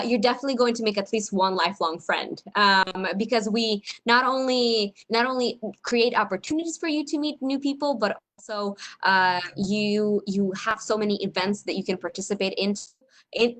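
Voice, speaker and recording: A woman's voice: medium pitch, fairly bright tone. A young woman from Europe, an exchange coordinator giving an upbeat online info session with many ums and uhs. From Eastern Europe. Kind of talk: online information session presentation.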